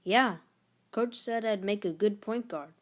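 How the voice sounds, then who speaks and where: A woman's voice: medium pitch, unhurried, natural voice. A woman voicing a proud, status-hungry middle-school boy in a storybook, with a casual, defiant manner. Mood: defensive pride.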